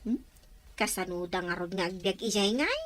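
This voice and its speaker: A woman's voice: mid-range voice, animated, normal speed, fairly bright tone, no room sound, lightly acted. A woman playing an eager, innocent young child in an Ilocano radio drama. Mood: wide-eyed, curious.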